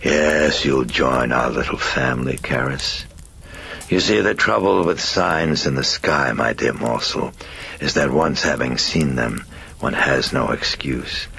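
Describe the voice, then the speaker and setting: A man voicing a sly, courtly demon, mocking and theatrical, with a croaking voice in an audiobook story. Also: extremely low pitch, measured pace, sharp tone, a little hiss or hum, exaggerated voice. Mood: mocking, cordial. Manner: theatrical, archly polite, taunting.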